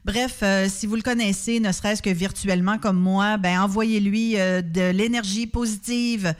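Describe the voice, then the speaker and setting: A woman with a Québécois accent hosting a music radio show, chatty and informal, pausing often as she presents records. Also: mid-range voice, steady tone. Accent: Québécois French. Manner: chatty, informal, hesitant with many pauses. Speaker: radio host.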